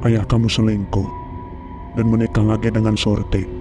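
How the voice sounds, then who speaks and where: A man's voice: on the low side, flat delivery, normal speed, close to an everyday voice. A man voicing a secretive, evasive older village uncle in a horror cartoon, explaining in a matter-of-fact way. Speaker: voice actor. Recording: clear music under voice.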